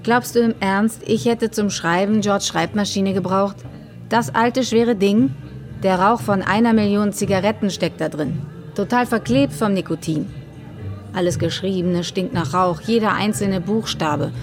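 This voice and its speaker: An actress as a dry, world-weary widow. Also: female voice, mid-range voice, unhurried, soft background music, lightly acted. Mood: dismissive, bitter. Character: world-weary, dismissive widow of a famous writer. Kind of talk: naturalistic German radio drama acting.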